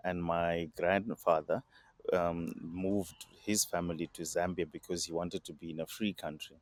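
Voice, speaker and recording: A middle-aged man from Zimbabwe talks through his answers in a very low voice, stopping often and repeating words as he thinks. The sound is dry, with little room around it. He is speaking over a video call. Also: level tone, dry recording. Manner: measured, with many hesitations and repeated words. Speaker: guest. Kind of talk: reflective interview answers.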